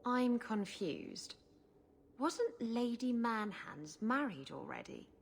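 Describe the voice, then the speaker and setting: An actress playing a brazen, bawdy female rogue in a video game, husky-voiced, sly and teasing. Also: on the high side, big pitch swings, slow, exaggerated voice. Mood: gleeful teasing. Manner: sly, mocking, drawling. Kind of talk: video game character acting.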